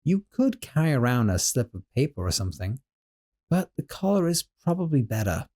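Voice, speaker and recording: Man voicing a young male sorcerer roommate, doting, gentle, flustered, warm mellow tone, lively pitch, audio roleplay. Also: fairly quick. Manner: soft, doting, a little flustered.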